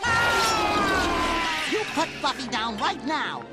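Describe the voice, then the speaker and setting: An actor voicing an excitable, naive, childlike sea creature in an animated cartoon, with music behind. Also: extremely high pitch, very slow, exaggerated voice. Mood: excited, alarmed. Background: loud music.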